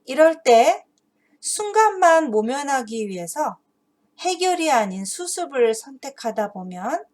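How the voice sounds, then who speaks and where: A woman explaining a children's story and its moral as a teacher, earnest and deliberate, phrase by phrase. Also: high-pitched, very animated, darkish tone. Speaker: host and teacher of an English Bible story channel for parents.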